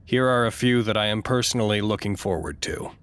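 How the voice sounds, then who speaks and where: An actor playing a formal, precise male staffer who narrates a log in a measured, wry, dryly curious way, as in an audio drama. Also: on the low side, a bit bright, clear, resonant tone, no room sound, close to an everyday voice. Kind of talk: scripted audio drama monologue.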